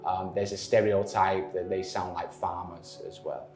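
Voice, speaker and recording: A man from northern England with a Manchester accent, answering interview questions in a measured way with frequent pauses. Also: low voice, level tone, a little mellow, roomy sound, soft background music. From northern England, Manchester area. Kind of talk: explaining in an interview.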